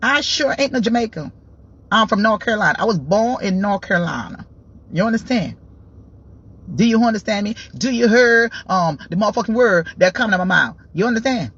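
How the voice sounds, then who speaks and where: A woman voicing a defiant woman who insists over and over that she is American, in a lower, dismissive tone. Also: expressive, a little fast, a bit bright, slightly rough, highs cut off.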